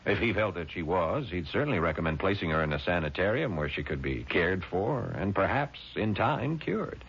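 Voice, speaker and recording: An actor playing a calm, probing family lawyer in a 1970s radio drama, measured, questioning and matter-of-fact. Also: male voice, low voice, breathy edge, exaggerated voice. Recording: dull, noisy vintage radio recording.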